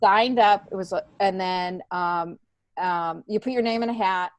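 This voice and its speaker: A middle-aged American woman telling stories casually as a guest, in a halting, animated way that often restarts sentences. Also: medium pitch, dry recording. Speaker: podcast guest, stand-up comedian. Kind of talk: casual conversational storytelling.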